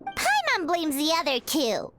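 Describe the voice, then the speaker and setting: A woman's voice: high voice, huge pitch swings, a little slow, bright, forceful tone, soft background music, full character voice. A woman voicing a small, childlike fairy companion in a video game, perky, blunt and exclamatory. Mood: curious, eager. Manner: refers to self in third person, exclamatory.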